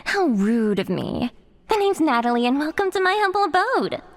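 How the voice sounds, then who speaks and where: A sadistic young metalhead villainess, acted by a woman, toys with her prey in a horror audio drama. She is theatrical, taunting and foul-mouthed, with a pitch that swings animatedly between mockery and menace. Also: big pitch swings, normal speed.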